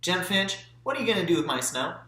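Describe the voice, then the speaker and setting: A man's voice: high voice, big pitch swings, brisk, pushed and bright, very echoey, pitched above the performer's own voice, full character voice. A man voicing a bossy, scolding older Southern woman neighbour in an audiobook, speaking in exclamations. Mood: exclaiming. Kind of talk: audiobook character voice.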